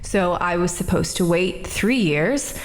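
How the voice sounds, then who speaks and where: A woman's voice: on the low side, lively intonation, measured pace, poor audio. An actress playing a brash, defensive young woman questioned at an inquest, talking in breezy slang in a radio drama. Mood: defiant.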